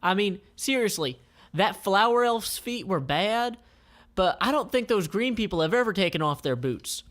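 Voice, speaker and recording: A man's voice: sits high, animated, moderate pace, fairly bright tone, voice pushed up. A man voicing a flustered, earnest young princess in disguise for a comic read-aloud. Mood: earnest, worried.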